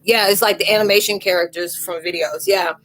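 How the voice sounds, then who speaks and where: A woman hosting a casual spiritual chat in a rambling, animated way. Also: medium pitch, fairly quick. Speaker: live stream host.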